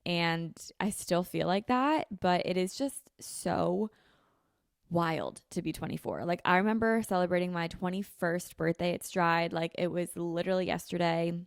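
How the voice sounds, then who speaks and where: A young woman in her twenties rambles chattily through a solo podcast, her fairly low voice full of vocal fry and constant "like"s. She speaks close into a handheld mic, and the sound is dry and close with no room echo. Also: moderate pace. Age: 20s. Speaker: podcast host. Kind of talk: casual solo podcast chat. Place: home kitchen, indoors. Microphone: handheld dynamic mic held close.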